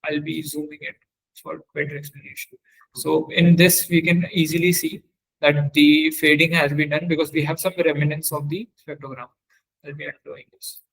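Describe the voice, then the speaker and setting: A man teaching in a webinar, demonstrating software step by step and often halting to search for words. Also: fairly high pitch, level tone, creaky, rough recording. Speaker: webinar trainer.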